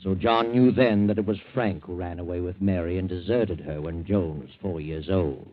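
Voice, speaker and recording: An actor playing a sardonic, gloating mystery storyteller, narrating knowingly in 1940s radio style. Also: male voice, sits low, moderate pace, no echo, lightly acted. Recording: tinny old radio recording. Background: faint music.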